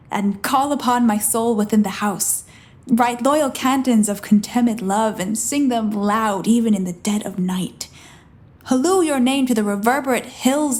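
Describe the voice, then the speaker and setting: An ardent young messenger of Shakespearean audio drama, a woman acting a girl in page's disguise. The voice is on the high side, earnest and courtly, declaiming with witty grace. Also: a little mellow, close to an everyday voice.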